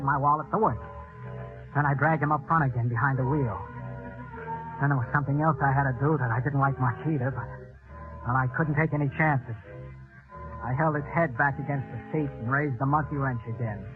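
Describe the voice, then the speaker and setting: A man's voice: medium pitch, lightly acted. An actor playing a cold, scheming, nervous man who narrates his own crime in 1940s radio style. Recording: tinny, band-limited old radio recording, music behind.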